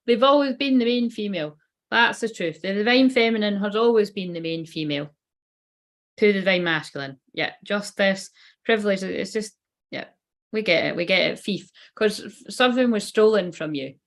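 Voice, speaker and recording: A woman's voice: mid-range voice, expressive, moderate pace. A woman giving a casual tarot card reading. She rambles loosely and swears offhandedly.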